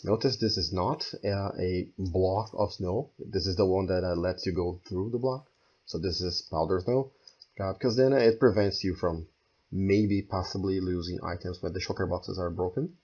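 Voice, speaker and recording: A hoarse man who is losing his voice, walking through a game build step by step and thinking aloud as he goes. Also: low-pitched, fairly even intonation, moderate pace, darkish tone, dull audio. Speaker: game tutorial creator. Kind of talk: screen-recorded building tutorial.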